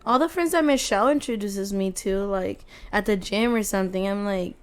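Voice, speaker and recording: A woman's voice: mid-range voice, moderate pace, clear, resonant tone. A woman in her twenties, a podcast guest chatting casually, often giggling and acting out her own reactions. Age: 20s. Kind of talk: casual chat.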